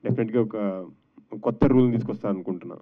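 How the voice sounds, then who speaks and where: Low-pitched Indian man, thin narrow-band sound. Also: a little hiss or hum. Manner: halting, mixes English phrases into speech. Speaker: film actor answering press questions. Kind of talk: press question-and-answer, conversational.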